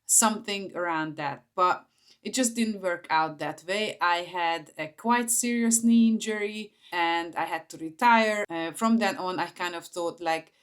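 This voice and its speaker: A woman's voice: on the low side, measured pace. A woman in her forties with a Hungarian accent, talking informally to camera as she shares personal stories. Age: 40s. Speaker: vlogger, entrepreneur.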